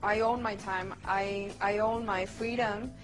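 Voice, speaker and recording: A woman's voice: mid-range voice, steady tone, unhurried. A woman giving a confident, assertive testimonial to camera. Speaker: internet marketer, mother. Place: indoors, high-rise room with window view. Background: soft music.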